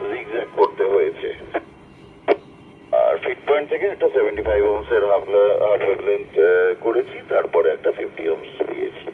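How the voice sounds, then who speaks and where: A man with a Bengali Indian accent chatting casually over a two-way amateur radio link as a fellow operator. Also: on the low side. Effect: two-way VHF radio transmission. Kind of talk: casual ham radio conversation. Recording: tinny, noisy VHF repeater radio link.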